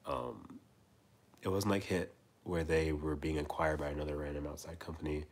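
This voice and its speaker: A man in his twenties hosting a casual livestream chat, rambling and repeating himself with soft trailing asides. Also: a bass voice, little pitch movement, moderate pace. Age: 20s. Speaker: livestream host.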